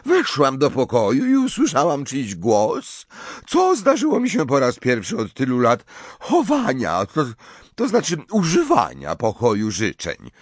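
A man voicing a tipsy, flustered, eccentric middle-aged female seer in an audiobook, dreamy and theatrical, with a wavering drawn-out voice that stammers when flustered. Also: mid-range voice, huge pitch swings, dry recording, voice pushed up. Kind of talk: audiobook character voice.

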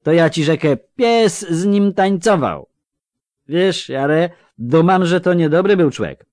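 A man's voice: on the high side, expressive, takes it slowly, darkish tone, dry recording, studio quality, voice pushed up. A man voicing a drunk, boastful young country lad who talks in a slurred rustic dialect.

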